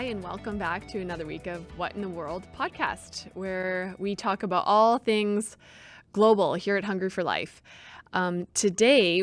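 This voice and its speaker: A woman's voice: mid-range voice, no echo. Woman, young adult, from Canada, podcast host, friendly and chatty, dry close sound. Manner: friendly, chatty, with fillers and laughs. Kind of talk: casual interview.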